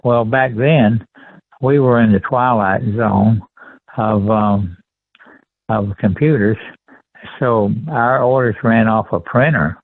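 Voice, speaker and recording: A man in his seventies with a Texan accent, recalling his life as an interview guest in a halting, deliberate, polite way. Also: fairly low pitch, gravelly, no room sound. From rural Texas, USA. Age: 70s. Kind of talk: conversational personal recollection. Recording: tinny phone-line sound.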